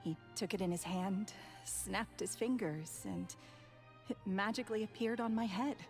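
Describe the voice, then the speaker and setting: Casting: actress as sentimental game widow, soft and wistful, mid-pitched voice, faint music behind. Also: female voice, moderate pace, creaky, close to an everyday voice. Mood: wistful, fond. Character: gentle sentimental widow reminiscing about lost love. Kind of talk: video game voice acting.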